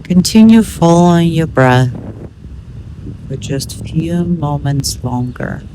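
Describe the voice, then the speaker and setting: A woman leading a guided meditation in measured, instructional short phrases with pauses between them. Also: low voice, animated, dark tone, slight background noise, rough recording.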